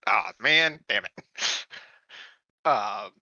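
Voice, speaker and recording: Bright, sharp-voiced man playing tabletop games. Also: fairly low pitch, expressive, extremely slow, dry recording. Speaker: tabletop game player. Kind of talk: casual game-table chat.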